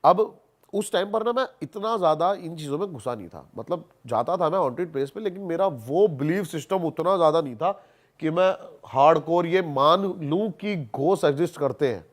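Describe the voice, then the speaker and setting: Man, higher-pitched voice, casual animated storytelling, colloquial, English words mixed in, emphatic repetition. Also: fairly quick. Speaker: podcast guest, haunted-place investigator.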